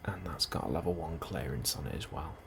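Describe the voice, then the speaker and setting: A man with a very low, creaky voice speaks softly in an ASMR role-play as a colony clerk. His delivery is hushed and unhurried, at times slipping toward a whisper. Steady ambient noise is clearly audible behind him. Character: calm, helpful male colony immigration officer.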